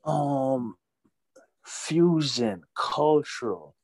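A man in his forties from Jamaica, raised in Brooklyn, chatting as an interview guest, relaxed and full of "you know.". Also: medium pitch, extremely slow, no echo. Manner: relaxed, repeats himself, many "you know"s. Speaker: guest musician. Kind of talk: casual interview talk.

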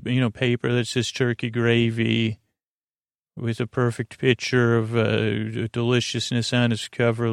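A man with a gravelly, creaky voice delivers a soothing bedtime monologue. He speaks slowly and wanders through halting asides in an even, lulling tone, recorded close and dry. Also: mid-range voice, no room sound. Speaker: podcast host.

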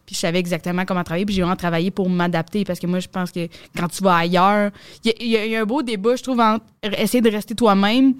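A woman's voice: medium pitch, clean tone, no echo, studio quality. A young woman comedian with a Québécois accent, telling stories in a casual, slangy podcast chat. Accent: Québécois French. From Quebec. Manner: rapid, slangy, animated storytelling. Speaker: comedian guest.

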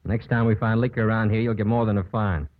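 An actor playing a steady, principled young county attorney, easygoing but firm, in a 1950s radio drama. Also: male voice, lowish voice, fast, close to an everyday voice. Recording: narrow old radio broadcast, faint noise.

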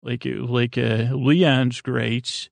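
A man hosting a bedtime podcast, talking in a creaky voice and meandering haltingly through tangents. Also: mid-range voice, steady tone, no echo. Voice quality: very creaky, gravelly. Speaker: podcast host. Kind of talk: rambling bedtime podcast monologue.

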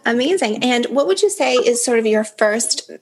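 A woman's voice: a little high, animated, normal speed, slightly rough. A woman interviewing a guest, asking questions in a friendly, encouraging way.

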